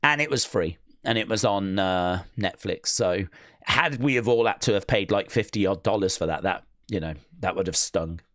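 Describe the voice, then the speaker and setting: Male streamer, mid-pitched, dry close mic, rambling casual chat with viewers. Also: normal speed, fairly bright tone, dry recording. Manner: rambling, lots of fillers and restarts. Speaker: streamer hosting a wrestling watch-along.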